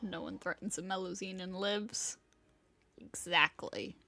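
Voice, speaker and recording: A woman's voice: fairly low pitch, fairly even intonation, measured pace, gravelly, bright, forceful tone, a little hiss or hum. A woman game streamer giving casual live reactions as she plays.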